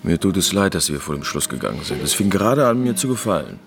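An actor playing a trusting, good-natured provincial husband who dotes on his wife, earnest and gently coaxing, in a German radio drama. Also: deep voice, very animated, fast talker. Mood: mildly regretful. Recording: music playing behind the voice.

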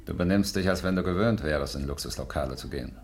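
An actor playing a boastful, pushy small-time crook in a 1950s German radio play, speaking with cocky insistence. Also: male voice, very deep voice, fast talker, slightly rough, full character voice. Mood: smooth, flattering.

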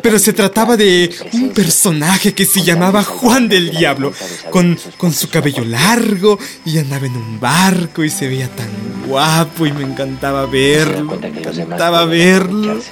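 An actress playing a reflective, self-justifying television devotee, delivering an articulate radio drama monologue. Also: female voice, low voice, measured pace, over music. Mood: wistful, musing. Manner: musing, articulate.

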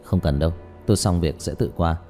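A man playing a calm, matter-of-fact young male exorcist in an audiobook, speaking in a low-key, measured way. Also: faint music behind, close to an everyday voice. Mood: composed. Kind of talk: audiobook character dialogue.

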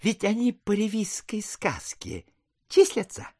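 An actor playing a sly, glib clerk in an audiobook drama. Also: male voice, sits high, sing-song, measured pace, dark tone, exaggerated voice. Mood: sly, reassuring. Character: sly male clerk talking in glib rhymes.

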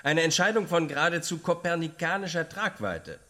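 Pompous ambitious scientist in a radio play, fairly high voice, grandiose and self-important. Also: male voice, animated, fairly quick, bright, forceful tone. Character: ambitious, pompous male xenobiologist. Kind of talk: German radio drama acting.

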